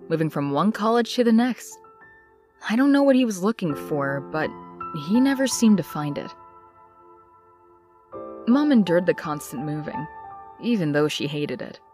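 A woman playing a young woman confiding her painful past in an expressive, reflective comic dub narration. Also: on the low side.

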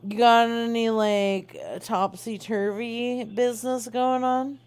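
A woman's voice: a little high, level tone, takes it slowly, a little mellow, clear, resonant tone, dry recording. A woman hosting a prank call show, improvising casually in a crude, teasing, rambling way.

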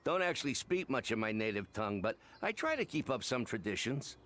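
Hearty old villager, friendly, folksy, very animated pitch, cozy game character. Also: male voice, fairly quick. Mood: fond, cheerful. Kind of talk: video game character acting.

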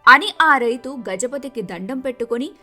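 A woman tells a scripted folk tale in a high-pitched voice. Her tone is bright and her delivery is expressive, with faint music underneath. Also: normal speed. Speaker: story narrator.

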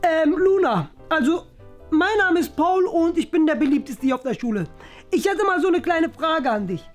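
Woman playing a cheeky high-pitched schoolboy prankster. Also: lively intonation, moderate pace, soft background music. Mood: scheming. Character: cheeky, cocky popular schoolboy, lazy prankster. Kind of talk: children's YouTube school skit acting.

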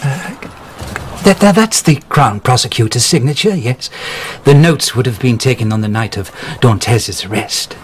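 A man's voice: mid-range voice, lively intonation, normal speed, close to an everyday voice. A fawning, stammering clerk eager to please a lord, played by an actor in a radio drama. His voice sits in an ordinary middle range, with faint noise behind him. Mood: nervous, obliging. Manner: hesitant and stammering.

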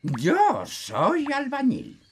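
A man voicing a smug, wise old elf in a children's cartoon, pompous and deliberate. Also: extremely high pitch, huge pitch swings, a little hiss or hum, full character voice. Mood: self-important. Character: smug, wise old male elf, know-all builder. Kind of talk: animated children's cartoon dub.

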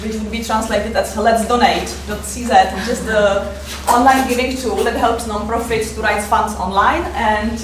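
A woman in her thirties with a Czech accent gives a fundraising presentation, halting with many fillers, recorded from afar in a seminar room. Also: medium pitch, distant mic, a little hiss or hum. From Czech Republic. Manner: hesitant, many fillers, halting non-native English. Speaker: fundraiser giving a conference talk. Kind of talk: presentation talk. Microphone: far camera mic. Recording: echoey room, picked up from afar.

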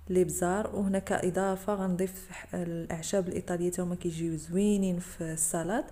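A woman's voice: on the low side, warm tone. A woman with a Moroccan Arabic accent, narrating a cooking tutorial over her video and explaining each step to the viewers. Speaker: cooking channel host.

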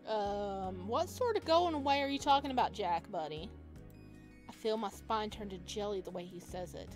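Female game streamer, middle pitch, playful and expressive read-aloud with casual reactions, music underneath. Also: normal speed. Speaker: streamer playing a visual novel. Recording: music playing behind the voice.

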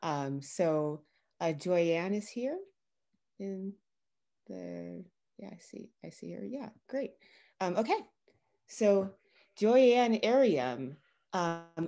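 A woman's voice: lowish voice, slow, dark tone. A woman, around her thirties, informally hosting an event as MC over a video call. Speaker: MC, creative writing teacher. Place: small room at home, indoors.

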